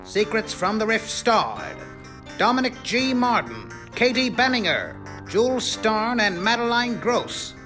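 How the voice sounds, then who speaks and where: A man's voice: sits high, big pitch swings, measured pace. A man reading scripted credits as the announcer of an audio show. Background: brassy music.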